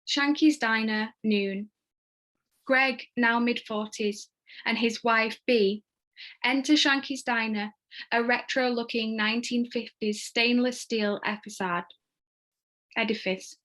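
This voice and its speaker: A woman's voice: fairly high pitch, steady tone, takes it slowly, a bit bright. A woman narrating screenplay stage directions at a table read. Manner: reads screenplay action descriptively.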